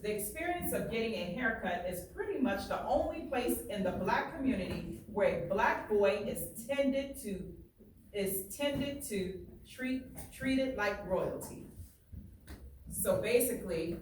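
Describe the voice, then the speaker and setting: A woman's voice: medium pitch, animated, a little slow. A woman teacher reading a book aloud, stopping to explain passages plainly and check that listeners understand. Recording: very echoey room, distant, faint noise.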